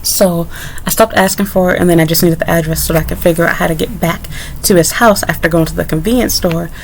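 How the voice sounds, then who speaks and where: A woman's voice: lowish voice, steady tone, fast talker, gravelly, slight background noise, rough recording. A young adult woman vlogger telling a personal story in a candid, rambling way, with frequent asides to her viewers. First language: English. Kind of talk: casual story-time monologue.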